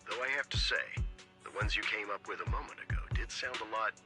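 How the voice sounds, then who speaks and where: A man playing a composed, self-assured city mayor in a video game, speaking in a measured, formal and polite way. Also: lowish voice, steady tone, natural voice. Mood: wry. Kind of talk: scripted video game dialogue. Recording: game audio with music behind.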